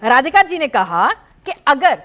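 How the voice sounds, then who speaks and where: A woman's voice: high-pitched, big pitch swings, unhurried, fairly bright tone. A woman anchoring a heated TV debate, firmly cutting in to keep panelists in order. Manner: firm, keeps cutting in to restore order.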